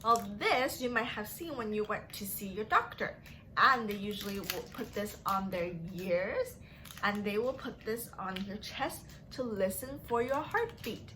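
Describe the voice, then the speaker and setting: A young woman with a bright, fairly high voice teaches small children. She speaks slowly in an exaggerated sing-song way, as in story time. It is recorded in a room at home with light background noise. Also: poor audio. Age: young adult. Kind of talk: children's lesson, asking questions and praising answers.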